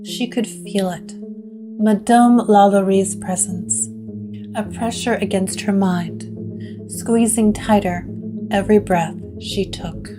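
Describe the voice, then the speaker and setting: A woman narrator reading scripted gothic horror in a measured way, with dramatic pauses. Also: medium pitch, steady tone, over music.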